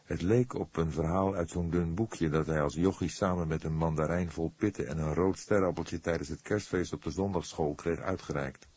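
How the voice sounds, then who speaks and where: A man reads a story in a measured, scripted style. His voice is fairly low, mellow and somewhat husky. He paces it as an audiobook narrator would. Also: fairly even intonation.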